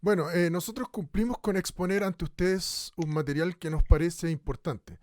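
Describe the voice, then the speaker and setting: An actor playing a radio co-host who speaks hesitantly, with many pauses. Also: male voice, high voice, darkish tone, no echo, clean studio sound, lightly acted. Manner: hesitant, full of "eh". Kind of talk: radio drama acting.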